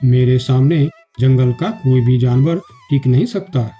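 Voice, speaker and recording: A man playing proud, powerful jungle animals in an animated fable, with a boastful, declamatory way of talking. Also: medium pitch, measured pace, muffled recording, faint music behind, natural voice. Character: proud, powerful male jungle animals: lion king, bear. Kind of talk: Hindi animated fable dialogue.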